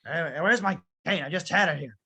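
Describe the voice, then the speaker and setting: Man voicing a grumbling old cartoon man, soft-hearted, raspy, bright forceful edge. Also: a little high, fairly quick, full character voice. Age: older. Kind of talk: casual online table read of an animated film script.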